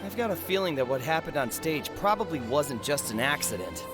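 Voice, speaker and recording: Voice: mid-range voice, big pitch swings, fairly quick, close to an everyday voice. An actor playing a wary, suspicious witness in a video game courtroom. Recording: music behind the voice.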